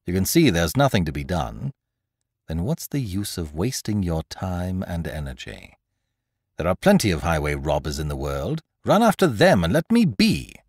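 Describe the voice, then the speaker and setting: A man voicing a teasing, boastful gentleman thief in an audiobook, mocking and theatrical, full of condescending rhetorical questions. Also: on the high side, huge pitch swings, unhurried, vocal fry, no echo, studio quality, voice pushed up, exaggerated voice. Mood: cold menace. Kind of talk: dramatised audiobook character dialogue.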